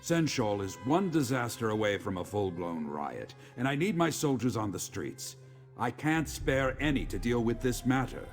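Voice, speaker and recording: An actor playing a weary, dutiful middle-aged legion commander in a video game, speaking in a measured, formal, authoritative way. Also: male voice, medium pitch, sing-song, soft background music. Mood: stern, businesslike. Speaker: game character, military commander. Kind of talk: scripted video game dialogue.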